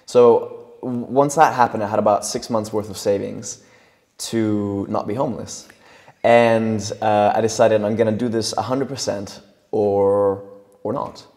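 A young man with a low gravelly voice. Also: steady tone, measured pace, a little mellow, big-room sound. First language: Italian. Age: young adult. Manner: thoughtful, gestures while talking, frequent restarts. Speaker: guest coach and trainer. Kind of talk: relaxed interview talk. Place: studio set with leather sofas and brick wall. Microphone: lapel mic.

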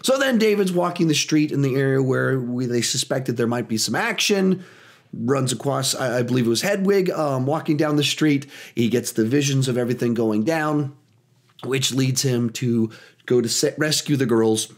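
A man in his thirties reviewing a film in a small home studio, animated and a little hoarse from a cold. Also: mid-range voice. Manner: animated, gestures a lot, talks in quick bursts. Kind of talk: energetic spoiler movie review.

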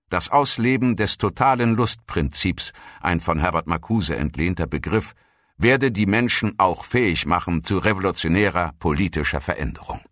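A man with a very low voice narrates a documentary. He reads from a script as a narrator. The sound is very dry, with hardly any room in it. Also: a bit bright, dry recording.

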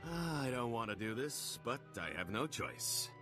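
An actor playing a sly, smarmy alien villain in a video game, with a theatrical delivery. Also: male voice, medium pitch, sing-song, a little slow, over music. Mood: sly bargaining. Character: sly male alien villain, cornered and bargaining.

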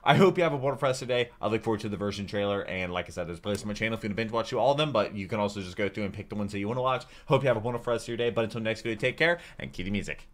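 A man's voice: lowish voice, very fast, hardly any pauses, slight background noise. A young man hosting a reaction video with informal, rambling, enthusiastic commentary. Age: young adult. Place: small room at home, indoors.

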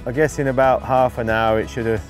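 A middle-aged man giving relaxed fishing instruction outdoors, in unhurried, halting phrases as he works. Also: mid-range voice, faint music behind. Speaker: angling instructor, presenter. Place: outdoors on an open lakeside bank.

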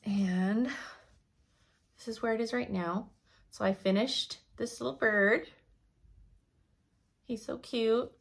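A young woman giving a chatty cross-stitch update from her home craft room, her voice slightly hoarse, laughing often as she thinks aloud. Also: fairly high pitch, slow pace, pauses often. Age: young adult. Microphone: camera in front at desk.

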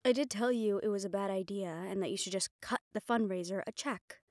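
Audio roleplay: sardonic female personal assistant to a crime boss, played by a woman, mid-pitched, businesslike yet familiar, dry close sound. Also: fairly quick, dry recording, natural voice. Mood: dry, confident. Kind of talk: intimate scripted audio roleplay, one-sided dialogue.

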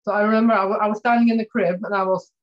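A woman's voice: mid-range voice, steady tone, very fast, highs cut off. A woman in her fifties from Norway, a non-native English speaker, talking hesitantly with many fillers as an interview guest. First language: Norwegian. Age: 50s.

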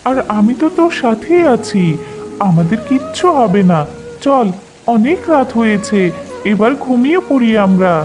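A fairly high, plaintive mother's voice acted by a woman in a cartoon fairy tale. She is a poor, pious villager, anxious yet tender and soothing, with clear music behind her. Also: sing-song, normal speed.

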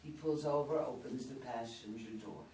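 A man's voice: mid-range voice, steady tone, moderate pace, darkish tone. An older man reading stage directions as scripted narration at a play reading. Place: meeting room, around a table. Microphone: far camera. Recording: distant mic, echoey room, faint noise.

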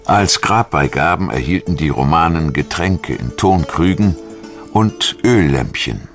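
A man reading scripted documentary narration in German in a measured, factual manner. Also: sits low, expressive, soft background music. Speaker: documentary narrator and voice-over translator.

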